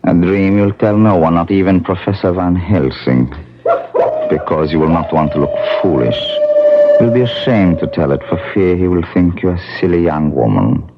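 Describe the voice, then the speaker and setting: An actor playing a commanding, seductive vampire count in a 1970s radio drama, with a slow, hypnotic delivery. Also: male voice, low voice. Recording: dull vintage radio recording with music.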